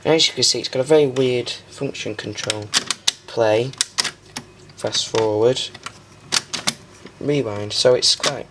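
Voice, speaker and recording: British man, mid-pitched voice, informal hobbyist show-and-tell, halting delivery, noisy home camera audio with clicks. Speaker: hobbyist presenter showing restored vintage tape machines.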